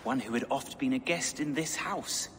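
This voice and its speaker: An actor playing a deferential, worried Saxon household servant in a video game, respectful and halting. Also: male voice, medium pitch, a bit bright, lightly acted. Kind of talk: video game cutscene acting. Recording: long hall reverb, faint noise.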